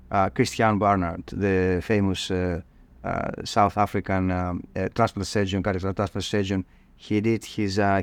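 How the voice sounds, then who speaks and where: Man, Greek accent, low smooth voice, halting interview guest, reflective, dry sound. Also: no echo. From northern Greece. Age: middle-aged. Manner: halting, repeats words while thinking. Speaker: podcast guest, cardiologist.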